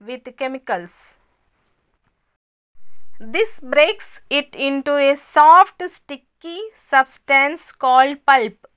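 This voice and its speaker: A woman reading a scripted school lesson as a voice-over, stating each heading and then explaining it in a measured way. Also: high voice, expressive, sharp tone. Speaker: narrator of a school lesson video.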